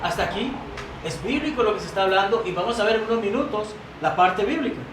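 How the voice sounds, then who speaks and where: A man's voice: sits high, lively intonation, fast talker. A man preaching a sermon in a church, earnest and emphatic, reading quoted doctrine aloud and stumbling into restarts now and then. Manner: earnest, rising to emphatic repetition. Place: church sanctuary. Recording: roomy church sound, mic at a distance, noisy.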